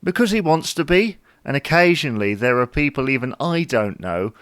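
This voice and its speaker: A man's voice: mid-range voice, very animated, fairly quick, a bit bright, no echo, studio quality. A man playing a wry, matter-of-fact husband who teases his wife in a domestic comedy audio drama. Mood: explaining, patient. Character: wry, patient, mildly bickering husband glued to social media.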